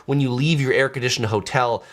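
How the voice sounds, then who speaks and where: A man's voice: mid-range voice, a little fast, fairly bright tone. An American man from California, a travel vlogger chatting casually on a live stream and answering viewer comments, with loose talk full of filler words. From San Diego, California, USA.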